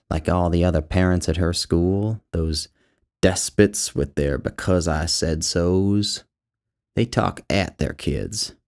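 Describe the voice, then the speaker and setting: A man's voice: sits low, darkish tone. A man voicing a defensive, opinionated father from a short story, indignant and declaiming. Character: defensive, opinionated father, an aspiring writer's blurting character.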